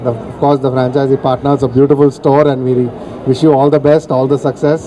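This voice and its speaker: A man's voice: medium pitch, level tone, very slow, background noise. A man in his thirties with an Indian accent, giving a polite, hesitant thank-you speech as a company director. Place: open atrium of a shopping mall, on stage. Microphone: handheld microphone.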